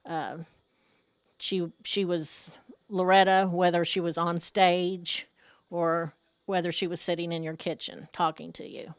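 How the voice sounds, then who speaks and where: A woman gives a halting personal remembrance at a slow pace. Her voice is smooth and slightly low, and she pauses and restarts often in a dry, close recording. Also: no echo. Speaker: relative giving a tribute.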